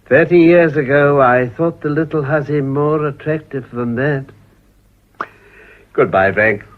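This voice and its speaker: An actor playing a genial, self-satisfied older British Prime Minister in a radio drama, with an upper-class English accent. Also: male voice, on the high side, measured pace, natural voice. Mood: urbane, jovial. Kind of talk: broadcast radio drama acting. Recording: tinny, narrow-band old recording.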